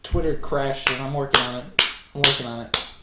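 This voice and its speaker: A man's voice: medium pitch, fairly even intonation, moderate pace. A young man co-hosting a casual sports podcast, trading laid-back, wry banter. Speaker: podcast co-host. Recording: echoey room, faint noise.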